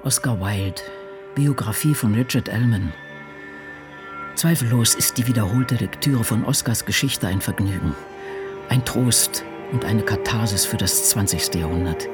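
A man's voice: on the low side, unhurried, music in the background, natural voice. An actor playing a solitary, crotchety old woman novelist, reflecting and musing to herself in a radio drama. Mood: reflective. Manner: musing, talking to self. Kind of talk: radio drama monologue, diary reading.

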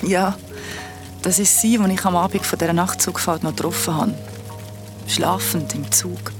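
A woman's voice: on the low side, steady tone, moderate pace, over music, close to an everyday voice. An actress with a Swiss German accent playing a troubled woman in a naturalistic audio drama. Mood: resolute, subdued. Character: troubled woman confessing a haunting night train memory.

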